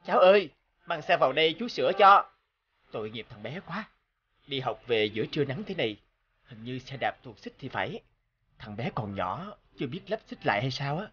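A man's voice: medium pitch, sing-song, a little fast, pushed and bright, muffled recording, slight background noise. A man voicing a kind, friendly young father who repairs bikes in a cartoon, speaking reassuringly. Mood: quiet pity. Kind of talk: cartoon voice acting.

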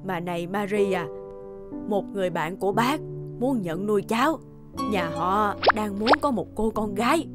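Woman as older cartoon auntie, kindly, persuasive, sympathetic, medium pitch, music underneath. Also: normal speed, lightly acted. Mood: coaxing, reassuring. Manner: sympathetic, coaxing. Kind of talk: dubbed cartoon dialogue. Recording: music behind the voice.

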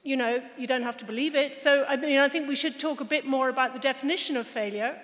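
A woman's voice: sits high, fast talker, a bit bright. A woman in the audience asking the panel a question, earnest and slightly rambling. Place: large hall. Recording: hall reverb.

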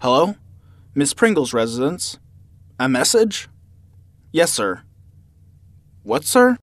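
A man's voice: on the high side, very animated, slow, no echo, heavily acted. Man playing a formal butler with swooping intonation. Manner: formal, polite, servile. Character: deferential, formal household butler. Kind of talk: radio drama acting.

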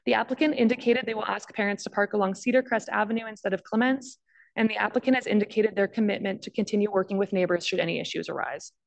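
A woman's voice: medium pitch, fairly even intonation, fast, vocal fry, poor audio. A woman reading a formal scripted staff report at a podium microphone. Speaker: district staff planner presenting. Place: large council chamber.

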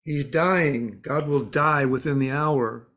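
Man playing a blunt, higher-voiced mysterious spirit. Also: takes it slowly, fairly bright tone, full character voice. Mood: scornful, solemn. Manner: blunt, matter-of-fact, pronouncing. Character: gruff, world-weary spirit voice, blunt and cryptic. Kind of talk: dramatised story dialogue.

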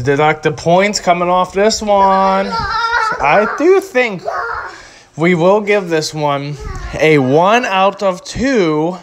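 A man's voice: fairly high pitch, slight background noise. A young man from recording a joking, rambling voice-over at home, reviewing a public restroom in a mock-serious way.

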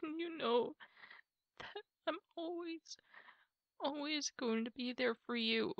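Woman performing as apologetic, emotional girlfriend for intimate ASMR roleplay. Very slow, hesitant and soft, recorded close and dry. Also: high-pitched, no echo, muffled recording. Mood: tearful pleading. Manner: halting, soft, breathy delivery with pauses. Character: apologetic, tearful girlfriend pleading to make up.

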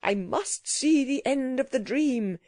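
A woman voicing an obstinate, dreamy learned gentleman in a storybook reading, rapt and breathless in manner. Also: high-pitched, lively intonation, takes it slowly, dry recording, clean studio sound, voice pushed up.

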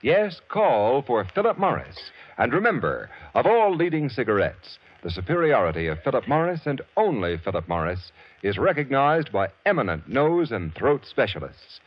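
American male announcer, 1940s ad read, formal and emphatic, lively intonation, muffled radio recording. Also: mid-range voice, normal speed, few pauses, breathy edge. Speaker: commercial announcer. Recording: dull, scratchy vintage radio.

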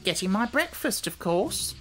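A woman voicing a brisk, self-assured talking hen in a storybook, with a matter-of-fact, argumentative manner. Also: medium pitch, big pitch swings, soft background music, pitched above the performer's own voice. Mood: chatty, contented. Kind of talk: storybook character voice.

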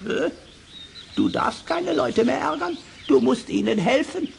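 A man playing a scolding, bossy talking raven with a croaky squawk in a children's radio play. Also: very high-pitched, expressive, full character voice. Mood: lecturing, stern. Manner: chiding, emphatic, drawn-out. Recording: noisy old vinyl audio drama.

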